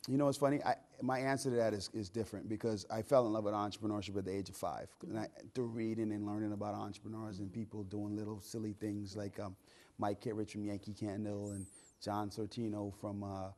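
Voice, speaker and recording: A man's voice: on the low side, level tone, quick pace, a little mellow. A man in his thirties from the Bahamas, a guest entrepreneur and author telling his story in a casual, chatty way, often repeating words as he thinks. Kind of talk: casual interview talk.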